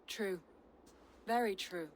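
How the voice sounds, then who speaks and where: An actress playing a stoic Norse noblewoman with an adventurous heart, speaking earnestly in measured, formal phrases for a video game. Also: mid-range voice, a little hiss or hum, lightly acted. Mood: tender. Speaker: game character. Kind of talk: dramatic game cutscene acting.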